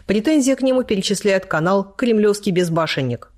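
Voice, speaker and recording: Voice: mid-range voice, lively intonation, brisk, darkish tone. A news narrator reading a scripted report in a matter-of-fact way.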